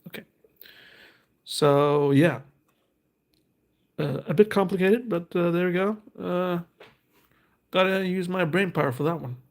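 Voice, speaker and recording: A man's voice: high-pitched, unhurried, pauses often. A man, hosting a casual unboxing and reading card text aloud in a hesitant, thinking-aloud way. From Norway. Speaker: host.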